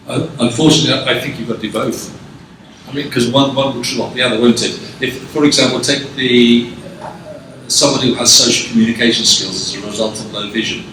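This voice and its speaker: A man giving a conference presentation and taking questions, measured and prone to restarts, recorded from a distance in a conference hall. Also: medium pitch, background noise. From England. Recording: echoey hall, distant mic.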